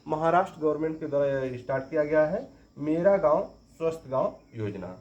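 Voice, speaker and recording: A man's voice: a little high, lively intonation, brisk. A man teaching a current affairs lesson for exam preparation, repeating each question and answer for emphasis. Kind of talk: exam-prep quiz lesson. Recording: roomy, dull lo-fi recording.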